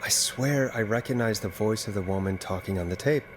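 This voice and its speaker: A man's voice: lowish voice, a little fast, noisy background, natural voice. A man playing a thoughtful, puzzled radio host turned investigator in a video game, conversational and musing. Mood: puzzled, investigative.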